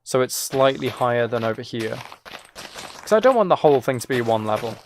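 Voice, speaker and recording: Creaky-voiced man chatting on a gaming stream. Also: medium pitch, big pitch swings, a little fast, a little hiss or hum. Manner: rambling, self-deprecating. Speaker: live streamer. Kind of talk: casual gaming livestream chat, commentary.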